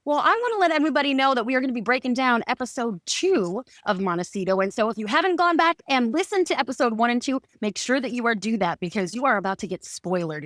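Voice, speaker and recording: A woman's voice: on the high side, no room sound, studio quality. A woman hosting a casual podcast interview in a chatty, enthusiastic way. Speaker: podcast host interviewing show creators.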